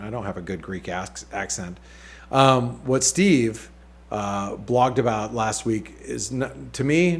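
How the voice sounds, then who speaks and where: A man hosting a weekly market outlook, talking casually over charts with many fillers, restarts and wry asides. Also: medium pitch. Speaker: market analyst host.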